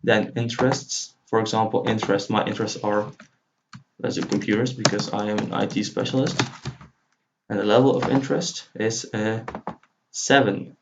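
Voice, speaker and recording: Dull lo-fi recording, flat-toned male tutorial presenter, native Dutch speaker, faint noise with keyboard clicks. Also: fairly low pitch, moderate pace, gravelly. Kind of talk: informal screen-recorded tutorial walkthrough. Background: computer keyboard typing and clicking.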